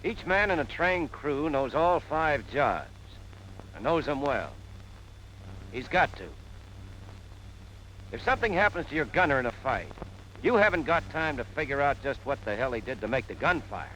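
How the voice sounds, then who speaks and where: A man's voice: medium pitch, sing-song, moderate pace, long pauses, a bit bright. An American man gives plain-spoken, punchy instruction in the style of a 1940s military training film narration. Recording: noisy 1940s film soundtrack.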